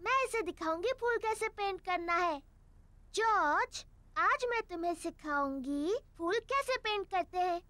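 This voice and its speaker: A woman's voice: very high voice, animated, unhurried, bright, forceful tone, no echo, exaggerated voice. A bossy little piglet girl. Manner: bossy, giggly. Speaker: Peppa. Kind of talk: Hindi cartoon dub. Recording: cartoon soundtrack with music.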